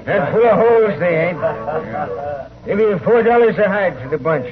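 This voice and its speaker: A man's voice: sits high, lively intonation, normal speed, a crowd in the background. An actor playing a plain-spoken, rustic frontier townsman in an old-time western radio drama. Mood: gruff haggling. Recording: tinny, noisy old radio broadcast.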